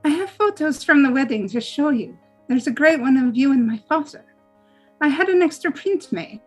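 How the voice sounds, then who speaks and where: A woman playing a gently curious young daughter-in-law in natural dialogue at a script read. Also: high voice, animated, moderate pace, faint music behind, rough recording. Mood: gentle, curious.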